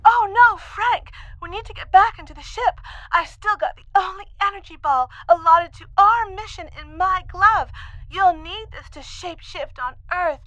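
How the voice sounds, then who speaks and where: A woman's voice: extremely high pitch, moderate pace, sharp tone, dry recording, poor audio. A woman playing an anxious, cautious alien crewmate in a comic sci-fi audio drama, urgent and pleading. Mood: alarmed.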